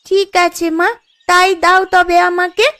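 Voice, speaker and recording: A woman's voice: extremely high pitch, flat delivery, measured pace, pushed and bright, exaggerated voice. A woman voicing a hungry little village daughter in a cartoon story, pleading and childlike. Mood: agreeable. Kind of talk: Bengali cartoon story acting. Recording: music behind the voice. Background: background music.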